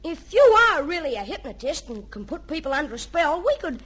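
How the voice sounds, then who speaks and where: A crafty, eager youngster in an old radio comedy. The voice is extremely high and bounces around as it wheedles. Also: female voice, sing-song, fairly quick, a bit bright.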